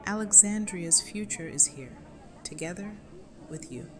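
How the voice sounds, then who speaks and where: A woman's voice: fairly low pitch, animated, a little slow. A woman playing an earnest, resolute community leader seeking reconciliation in a fan audio drama. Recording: music under the voice.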